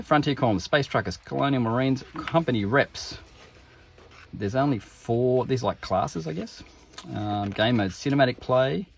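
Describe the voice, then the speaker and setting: Brief: middle-aged male host, gravelly texture, mid pitch, loose rambling delivery. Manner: rambling, self-deprecating, reads passages aloud. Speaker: YouTube unboxing host. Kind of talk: casual unboxing commentary.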